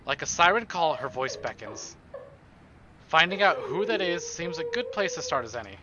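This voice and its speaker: A man's voice: fairly high pitch, sing-song, normal speed, background noise. A man streaming a game, reading narration aloud expressively and dropping in casual, playful asides.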